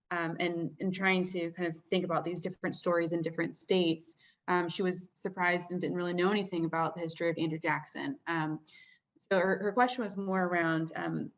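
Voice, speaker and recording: A woman at an event relaying audience questions, informally paraphrasing them in a tentative way. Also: fairly low pitch.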